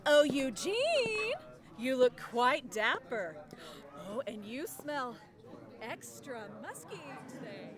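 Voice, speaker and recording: A woman's voice: sits high, sing-song, takes it slowly, crowd noise, full character voice. An actress playing a scheming, teasing woman in a comedic audio drama, speaking with wry manner. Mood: scheming, flirtatious.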